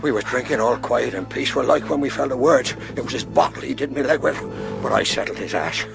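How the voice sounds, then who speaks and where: An actor playing a sly, wounded pirate seaman in a radio drama, rough and gravelly, with insinuating sailor talk. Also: medium pitch, quick pace, music in the background, lightly acted. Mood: sly, menacing.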